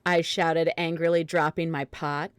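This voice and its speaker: A woman with a low voice narrates a fan fiction story. She reads the absurd text straight-faced, in a dry, close sound. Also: flat delivery, unhurried, clear, resonant tone, dry recording. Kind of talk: dramatic reading of fan fiction.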